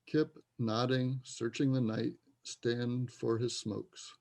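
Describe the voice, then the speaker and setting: A man's voice: medium pitch, little pitch movement, takes it slowly, vocal fry, darkish tone. A man reading the stage directions as narrator of a readers' theater play, in scripted narration.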